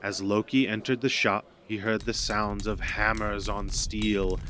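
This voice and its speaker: A man's voice: on the low side, level tone, measured pace, sharp tone. A man narrating a scripted myth as a storyteller.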